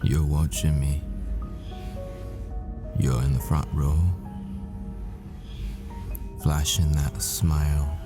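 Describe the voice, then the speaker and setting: A man playing a tender, haunted professor boyfriend in an intimate audio roleplay, speaking in halting, wistful, confessional phrases. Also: rumbling low. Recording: music behind the voice. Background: music.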